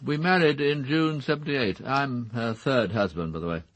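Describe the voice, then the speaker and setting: An actor playing a blunt titled English gentleman with an upper-class accent and clipped manner in a radio drama. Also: mid-range voice, normal speed, no room sound, lightly acted. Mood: blunt, businesslike. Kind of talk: British radio drama acting.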